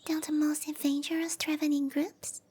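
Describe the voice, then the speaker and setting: A woman voicing a tiny, shy young cowgirl monster girl in an anime-style roleplay ASMR, hesitant and apologetic, with soft, breathy delivery. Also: sits high, level tone, moderate pace, close to an everyday voice. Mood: curious, excited.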